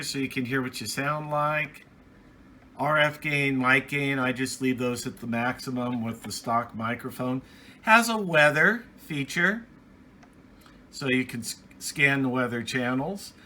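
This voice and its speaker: A man giving a casual, unscripted hands-on gear review as a hobbyist, halting and restarting his phrases as he goes. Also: mid-range voice, pushed and bright.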